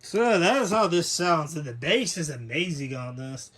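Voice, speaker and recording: A man's voice: on the high side, lively intonation, moderate pace, a bit bright, breathy edge, faint music behind. A man giving a casual, unscripted overview as a hobbyist video host, rambling and correcting himself as he goes.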